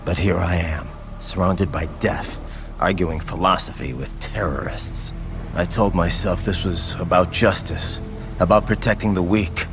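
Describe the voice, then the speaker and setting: A grim, bloodthirsty cyborg swordsman speaks low and gravelly, slow and brooding, with wide swings up to savage outbursts. Loud game music runs beneath him. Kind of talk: video game cutscene acting.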